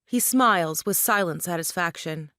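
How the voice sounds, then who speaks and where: A woman's voice: lowish voice, moderate pace, sharp tone, no echo, clean studio sound. A woman reading stage directions as scripted narration for a play.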